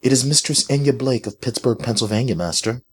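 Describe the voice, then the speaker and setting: A man's voice: mid-range voice, animated, a little fast, breathy edge, pitched above the performer's own voice. A man voicing a meek, deferential male servant with a professional, formal manner in a story.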